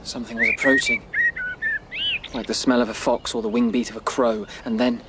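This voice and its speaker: A man playing an anxious, visionary young male rabbit in a radio drama, speaking in breathless, halting dread. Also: mid-range voice, huge pitch swings, heavy background noise. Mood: haunted.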